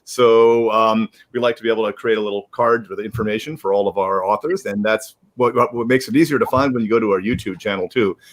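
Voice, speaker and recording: A man's voice: fairly low pitch, fast talker, hardly any pauses. A man hosting a live-streamed author reading, giving announcements in a rambling way with many restarts. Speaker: host of an online author readalong.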